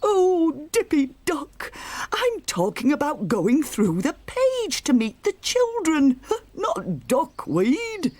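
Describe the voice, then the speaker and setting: A woman's voice: high-pitched, huge pitch swings, slow, a little mellow, no room sound, voice pushed up, exaggerated voice. Woman putting on a squealing bouncy rabbit boy. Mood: eager. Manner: giddy, squealing. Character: bouncy excitable young male rabbit. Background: music.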